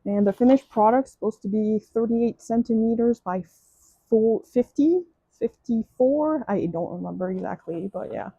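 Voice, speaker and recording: A woman's voice: fairly high pitch, normal speed, warm tone, breathy edge, no echo, muffled recording. A woman with a French accent, French her first language, chatting casually through a craft kit unboxing, gushing and restarting often. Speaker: crafting vlogger.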